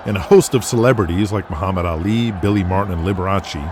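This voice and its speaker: Low-voiced male drama narrator. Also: fast talker, noisy background. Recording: loud music behind.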